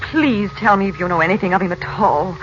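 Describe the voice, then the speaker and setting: A woman's voice: medium pitch, fairly quick, lightly acted. An actress playing a worried, earnest middle-aged mother in 1940s radio drama style. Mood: anxious, pleading. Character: anxious mother, sister of the hero. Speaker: actress in a radio adventure serial. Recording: muffled, noisy vintage 1940s radio.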